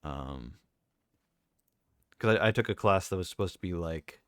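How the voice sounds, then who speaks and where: A young man with a deep voice, talking casually and rambling with lots of fillers and restarts as a streamer. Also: animated, moderate pace, clean tone, no room sound, clean studio sound. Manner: rambling, self-correcting, many fillers.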